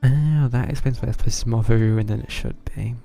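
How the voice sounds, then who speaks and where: A man casually commenting as he streams a game, in a low-key, offhand way. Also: a little fast, gravelly, warm tone. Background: game music.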